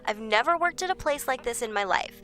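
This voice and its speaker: A woman's voice: fairly high pitch, lively intonation, fairly quick, a bit bright, soft background music. An actress playing a whiny, self-pitying, defensive woman with a melodramatic, complaining manner in an audio drama. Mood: indignant, self-pitying.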